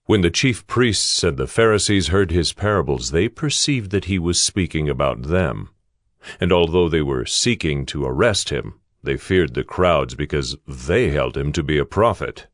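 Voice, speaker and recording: A man's voice: rumbling low, expressive, moderate pace, dry recording, clean studio sound. A man narrating a dramatized Bible as a scripted, professional storytelling read.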